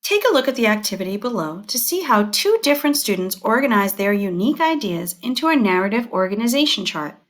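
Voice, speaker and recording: A woman teacher reading scripted instructional material aloud, setting out headings and short points in a measured, deliberate way. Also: mid-range voice, sing-song.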